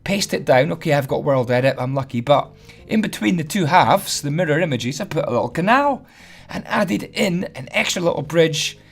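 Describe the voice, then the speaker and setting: A hoarse man, chatty and self-deprecating as he gives a casual building tutorial voice-over. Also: on the high side, animated, normal speed. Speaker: Minecraft building tutorial host.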